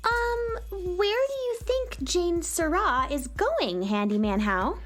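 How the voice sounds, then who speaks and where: A very high, sweet little-girl voice for a cheerful young radio host in a children's radio play. She speaks slowly and sing-song, coaxing and playful. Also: sing-song, faint music behind, full character voice. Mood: curious.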